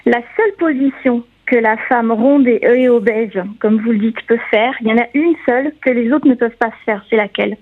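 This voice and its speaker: A woman giving a chatty sex column on a radio talk show, laughing often and filling pauses with "euh" and "voilà". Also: fairly high pitch. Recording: narrow phone-line sound.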